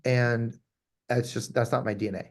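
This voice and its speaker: A man's voice: medium pitch, steady tone, normal speed. Emphatic man in a business interview. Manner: emphatic, repeats key phrases. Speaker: law firm owner being interviewed.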